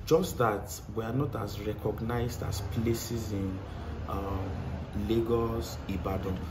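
A man's voice: on the low side, fairly even intonation, takes it slowly. A man in his thirties with a Nigerian accent, a mechanic answering interview questions earnestly, repeating phrases for emphasis, on a lapel mic outdoors at his workshop. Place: outdoor workshop by a tiled wall. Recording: clear outdoor background noise.

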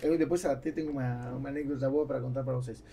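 A man in his forties, a native Spanish speaker with a South American accent, talking haltingly as a guest in an interview. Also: mid-range voice, steady tone, vocal fry, crowd noise. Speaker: business owner, podcast guest. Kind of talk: casual interview chat. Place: small foam-treated podcast studio. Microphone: podcast mic on boom arm.

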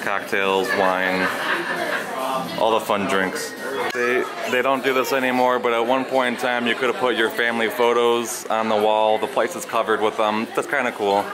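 A man's voice: medium pitch, little pitch movement, moderate pace. A man in his thirties talking casually and upbeat to viewers as a vlog host, recorded on a handheld camera. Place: outdoor resort walkways, some restaurant interior. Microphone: handheld camera at arm's length. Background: music.

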